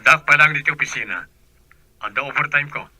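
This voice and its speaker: An actor playing an evasive, irritable husband in a radio drama, speaking defensively and wearily. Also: male voice, a little high, brisk, lightly acted. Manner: defensive, weary. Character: evasive, irritable working husband, dismissive and weary.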